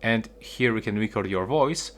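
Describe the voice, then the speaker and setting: A man's voice: on the low side, moderate pace, a bit bright, slight background noise. A man narrating a casual step-by-step how-to as the host of a tutorial.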